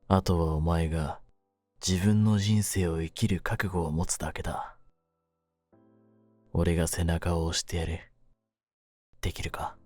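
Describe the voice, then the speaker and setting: A man acts out a young mafia kidnapper in a low, cool voice. He talks roughly and deliberately, jaded and domineering with a hidden tenderness. The sound is close and dry, with faint music behind this audio drama. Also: a little mellow, no room sound. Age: young adult. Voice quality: low-pitched. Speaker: voice actor in a situation voice drama. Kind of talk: Japanese situation-voice audio drama addressed to the listener.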